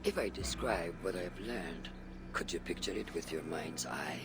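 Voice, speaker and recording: An actor playing a seasoned older assassin mentor in a video game, shrewd and patient, speaking in measured, formal, archaic phrases. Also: male voice, low-pitched, over music. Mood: low, scheming. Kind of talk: dramatic video game dialogue.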